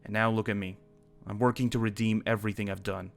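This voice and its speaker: A man's voice: fairly low pitch, little pitch movement, fast talker, gravelly, soft background music. A man playing an earnest, principled dragon slayer with a criminal past, in an improvised fantasy game roleplay. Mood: thoughtful, earnest. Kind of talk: improvised Minecraft roleplay acting.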